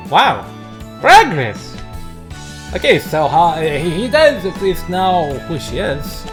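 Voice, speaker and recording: A man reading a visual novel aloud as a let's play host, mixing narration with casual, excitable commentary. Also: sits high, slow pace. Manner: excitable outbursts between narrated lines. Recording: game music behind the voice. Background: game background music.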